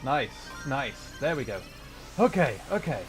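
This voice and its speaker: A man's voice: medium pitch, very animated, measured pace. Rambling man streamer over music. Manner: rambling, thinking aloud. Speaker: livestream host, gamer. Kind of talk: casual gaming livestream commentary.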